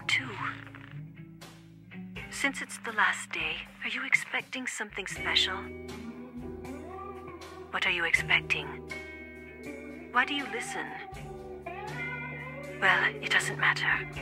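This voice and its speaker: A woman's voice: on the low side, close to an everyday voice. Woman acting a cool, seductive game femme fatale. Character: cool, taunting, seductive female assassin league agent. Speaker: voice actor in a game. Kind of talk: video game cutscene acting. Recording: game audio with music underneath.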